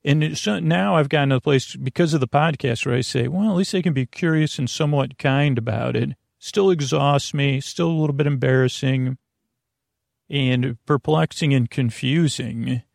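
A man hosting a bedtime podcast in a creaky, lulling voice, meandering through tangents with frequent stammers and questions to himself. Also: mid-range voice, moderate pace, no room sound, clean studio sound. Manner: soothing, lulling, drowsy delivery. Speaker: podcast host. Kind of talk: rambling bedtime podcast monologue.

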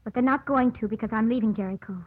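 Woman playing self-sacrificing young sweetheart, 1950s radio drama, slightly high, breathy, thin vintage sound. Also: fast, no room sound, phone-quality sound, close to an everyday voice. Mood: resigned, tender. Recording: thin, band-limited vintage radio recording.

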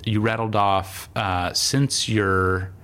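Low-voiced man asking rambling questions. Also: little pitch movement, takes it slowly, a bit bright. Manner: thoughtful, rambling questions with many "uh"s. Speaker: interview host. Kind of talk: conversational interview. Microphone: remote call.

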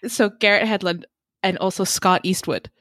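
A woman's voice: mid-range voice, fairly even intonation, moderate pace, fairly bright tone, no room sound, studio quality. A woman in a casual panel chat, informal and thinking aloud as she talks.

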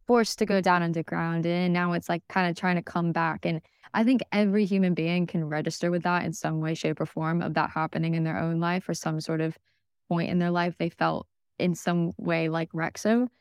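A woman's voice: on the low side, steady tone, normal speed, clean tone, no echo, studio quality. A young American woman, a podcast guest, talking enthusiastically in a casual interview, with lots of fillers and restarts. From United States.

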